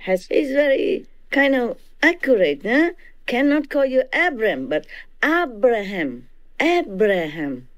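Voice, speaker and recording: A woman's voice: a little high, very animated, takes it slowly, dry recording. A woman with a Vietnamese accent giving an informal teaching to disciples. She laughs often and keeps checking in with her listeners. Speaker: spiritual teacher.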